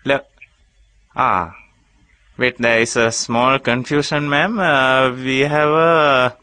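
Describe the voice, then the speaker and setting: A man's voice: mid-range voice, slow, bright, forceful tone, no echo. A man with a Sri Lankan accent making a prank phone call, stammering through overly polite airline-agent talk. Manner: hesitant, stammering, fake customer-service politeness. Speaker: radio prank caller.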